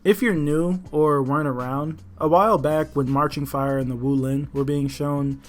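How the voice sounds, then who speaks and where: A man giving casual, opinionated commentary as the host of a gaming news video. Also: medium pitch. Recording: music behind the voice. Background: background music.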